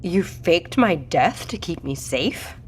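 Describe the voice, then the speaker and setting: A woman's voice: on the low side, lively intonation, slow pace, a little mellow, a little hiss or hum. A woman playing a direct, questioning doctor and project leader in an audio drama. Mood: shocked, probing.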